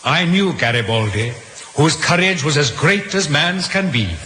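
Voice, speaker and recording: An actor in an old radio drama playing a vain, boastful Victorian doctor with a pompous, florid manner. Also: male voice, fairly high pitch, lively intonation, measured pace, bright, forceful tone. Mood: grandly boastful. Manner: pompous, theatrical. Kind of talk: 1940s radio drama acting. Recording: old radio broadcast, roomy, hissy.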